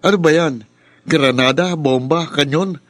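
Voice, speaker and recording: Volatile radio-drama son, high male voice, scornful, emotional, theatrical, dry close studio sound. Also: unhurried, a bit bright, dry recording. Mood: mocking. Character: hot-headed, scornful son, devastated by family betrayal. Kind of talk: Filipino radio drama acting.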